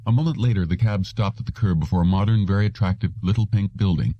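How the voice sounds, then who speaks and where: A man's voice: fairly low pitch, quick pace, no room sound, muffled recording. A man reading a science fiction story aloud as scripted narration. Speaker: audiobook narrator.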